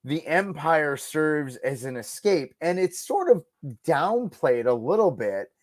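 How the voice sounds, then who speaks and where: A man's voice: fairly high pitch, very animated, takes it slowly, no echo, studio quality. A man talking casually as a podcast guest, giving emphatic, musing opinions peppered with "like" and "you know".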